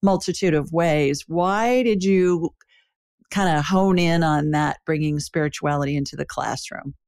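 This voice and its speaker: A woman's voice: low-pitched, a little slow, a little mellow, smooth, no room sound, clean studio sound. A woman hosting an interview, speaking in a blunt, matter-of-fact teaching tone. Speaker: show host. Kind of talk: interview conversation.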